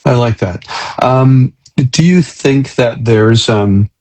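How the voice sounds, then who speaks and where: A man's voice: medium pitch, slow pace, a little mellow, faint music behind. A man hosting a conversational interview, speaking reflectively and hesitantly, repeating words as he searches for phrasing. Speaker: interview host.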